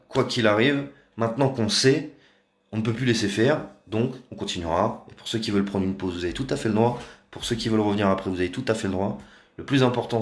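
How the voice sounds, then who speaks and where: A man with a fairly low voice rambles through a casual live video, full of hesitations and sarcastic asides. He speaks from a room at home, and the sound bounces around an echoey space. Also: gravelly. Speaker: activist livestreamer.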